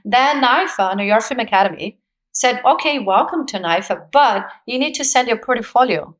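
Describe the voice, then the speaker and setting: A woman in her forties from Brazil, speaking English with a Brazilian Portuguese accent as a guest in an interview, telling her story with lively, laughing energy. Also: fairly high pitch, normal speed, rough recording. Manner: animated storyteller, laughs often, acts out quoted voices. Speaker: guest, actress. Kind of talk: casual interview conversation.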